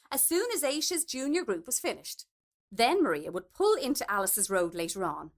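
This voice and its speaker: High, expressive woman reading a story. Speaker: story reader. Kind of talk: expressive literary short story narration.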